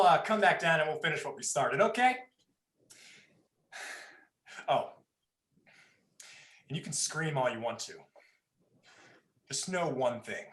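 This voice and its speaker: A man's voice: medium pitch, a bit bright, echoey room. A man playing a sadistic, mocking young predator in a horror play reading, taunting with false politeness.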